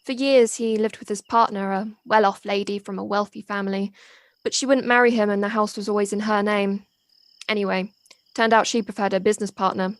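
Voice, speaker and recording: A woman's voice: medium pitch, fairly even intonation, fairly quick, no room sound, lightly acted. A woman acting in an audio play as a young local daughter, loyal, polite and matter-of-fact, friendly but a little guarded. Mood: confiding, matter-of-fact. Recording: faint noise, home personal-device recording.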